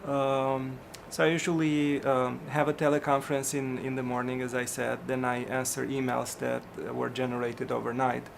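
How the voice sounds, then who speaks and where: A middle-aged physician from Romania, answering interview questions in a hesitant way, with many ums and restarts. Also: male voice, medium pitch, flat delivery, normal speed, creaky, hardly any pauses, slight background noise.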